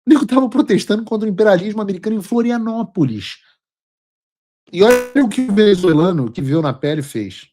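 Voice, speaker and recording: A man's voice: high voice, expressive, a little fast. A Brazilian man hosting his own show, delivering blunt, mocking political commentary with casual swearing. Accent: Brazilian Portuguese. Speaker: show host.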